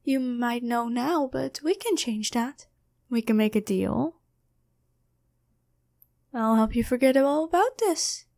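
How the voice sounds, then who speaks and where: A woman's voice: a little high, lively intonation, slow pace, gravelly, pauses often, darkish tone, heavily acted. A woman voicing a taunting female demon in a possessed girlfriend's body, teasing and coolly bargaining in an ASMR fantasy roleplay. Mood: sly, persuasive.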